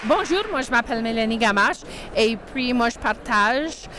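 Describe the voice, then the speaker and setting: A woman from Manitoba, introducing herself slowly and carefully. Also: a little high, lively intonation, bright, forceful tone, a little hiss or hum. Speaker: Métis interviewee.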